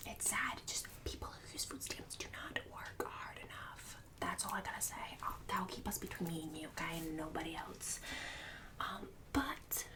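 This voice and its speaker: A woman's voice: fairly low pitch, animated, normal speed, far from the mic, natural voice, poor audio. A woman playing a snobbish, bossy suburban book club hostess in an ASMR roleplay, condescending and catty with fake-sweet insults. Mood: catty, judgmental. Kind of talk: ASMR roleplay, whispered and soft-spoken.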